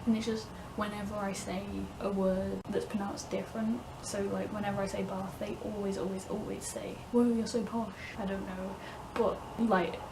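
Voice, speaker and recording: A woman's voice: medium pitch, flat delivery, moderate pace. Young woman, Midlands-northern English accent, chatty and giggly, set back from the mic, room noise behind. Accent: English Midlands-northern with southern vowels. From England, north West Midlands. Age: young adult. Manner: chatty, laughs often, frequent "like". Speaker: vlogger. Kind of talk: casual rambling monologue. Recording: distant mic, clear room ambience.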